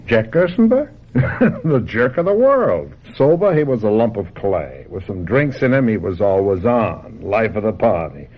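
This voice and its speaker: A man's voice: lowish voice, very animated, normal speed. A man acting a blunt, worried, exasperated character in a radio drama, emphatic, impatient and scornful. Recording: muffled old radio drama recording.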